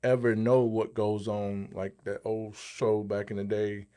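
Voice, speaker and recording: A man's voice: fairly low pitch, little pitch movement, measured pace, dark tone. A man co-hosting a podcast, speaking in a casual, conversational way. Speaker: podcast co-host.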